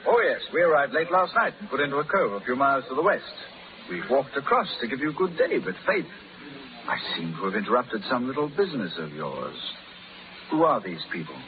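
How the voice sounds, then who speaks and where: An actor playing a shrewd, ironic gentleman pirate captain in theatrical 1930s radio style. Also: mid-range voice, big pitch swings, fairly quick, close to an everyday voice. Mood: cool irony. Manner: suave, ironic, crisply theatrical. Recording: scratchy, tinny 1930s radio recording.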